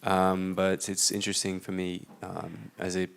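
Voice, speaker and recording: A man's voice: deep voice, monotone, measured pace, creaky. A man from the audience asking rambling, hesitant questions at a conference. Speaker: audience member. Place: conference room.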